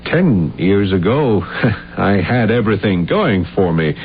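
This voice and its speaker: An actor playing an earnest, halting, guilt-ridden failed playwright in a 1970s radio drama. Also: male voice, fairly low pitch, very animated, exaggerated voice. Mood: wistful. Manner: halting, intense, stammering when flustered. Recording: dull, noisy old radio recording with hum.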